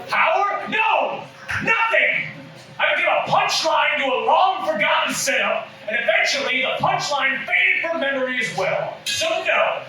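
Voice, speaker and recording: A man acting a pompous, high-pitched bitter old drunk. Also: heavily acted. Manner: grandiose, indignant, theatrical diatribe. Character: bitter drunken old male former children's entertainer, ranting pompously. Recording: roomy echo, distant mic, noisy room.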